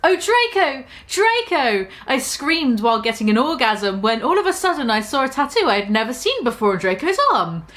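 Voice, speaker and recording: High-voiced woman giving a theatrical comic reading. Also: sing-song, brisk, hardly any pauses, fairly bright tone. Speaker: reader of a comic dramatic reading. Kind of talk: dramatic story narration, played for comedy.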